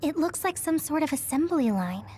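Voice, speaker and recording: A woman's voice: high voice, very animated, moderate pace, dark tone, a little hiss or hum. An actress playing a caring, earnest young female soldier in an anime-style video game cutscene. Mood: focused.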